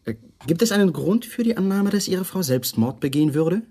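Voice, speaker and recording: A man's voice: sits high, expressive, brisk, dark tone. An actor playing a courteous, probing male homicide lieutenant in a radio crime play, formal and businesslike. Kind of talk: 1980s radio play acting.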